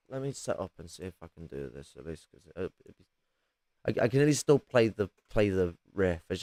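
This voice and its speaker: A raspy man, chatting casually on a livestream with lots of restarts and casual swearing. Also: on the low side, a little mellow, no echo. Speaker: streamer.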